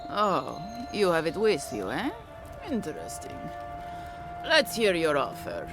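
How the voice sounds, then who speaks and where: Game casting: greedy, sly male haggler, lively intonation, threatening undertone. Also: a little high, a little slow, music in the background. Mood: sly, appraising. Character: shifty, greedy male trader haggling over a deal. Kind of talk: video game character acting.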